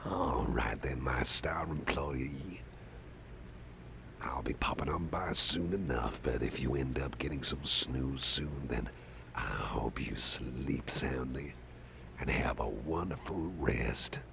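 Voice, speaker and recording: A man playing a doting, soft-hearted older boss in an ASMR roleplay, speaking gently and reassuringly in a gravelly, breathy half-whisper. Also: very deep voice, slight background noise, full character voice. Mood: soothing. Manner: slow, gentle, reassuring, rambling. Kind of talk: ASMR roleplay, softly spoken to the listener.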